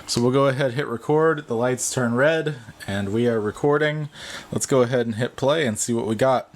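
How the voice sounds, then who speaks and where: A man in his thirties giving a casual vlog demonstration, unhurried and offhand as he thinks aloud. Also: mid-range voice. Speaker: vlogger. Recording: clear background noise, clicks.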